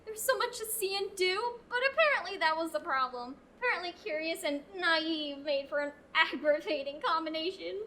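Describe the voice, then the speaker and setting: A teen girl playing a bright, eager young novice. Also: very high voice, a little fast, hardly any pauses, a little hiss or hum. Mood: chatty. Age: teens. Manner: earnest and pleading. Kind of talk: student radio play.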